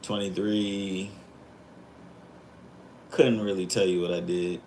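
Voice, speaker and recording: A man's voice: low-pitched, little pitch movement, slow pace, a little mellow, slight background noise. A man in his thirties chatting casually on a live stream, rambling and reflective, with lots of fillers. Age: 30s.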